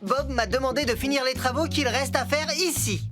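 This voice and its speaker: A woman's voice: high voice, normal speed, natural voice. A woman voicing an eager, scatterbrained young male apprentice builder, hesitant and flustered, in a dubbed children's cartoon. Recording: music behind the voice.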